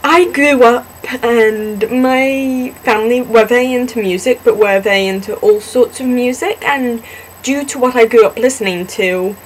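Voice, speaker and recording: A young woman, born in Singapore and raised in the southwestern US, casually answering questions about herself to a camera, chatty and self-deprecating with many pauses and ums. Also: a little high, a little hiss or hum, low-quality recording. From born in Singapore, grew up in the southwest US. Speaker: vlogger in a fan collab channel.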